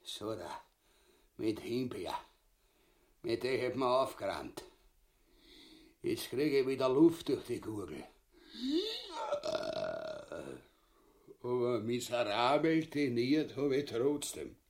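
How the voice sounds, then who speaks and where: Vulgar, blustering Austrian glutton, wildly swooping pitch. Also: male voice, lively intonation, slow pace, pauses often, darkish tone. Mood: grumbling. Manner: coarse, bellowing, swooping theatrical outbursts. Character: vulgar, blustering, cowardly middle-aged male glutton and usurper. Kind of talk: farcical radio play acting.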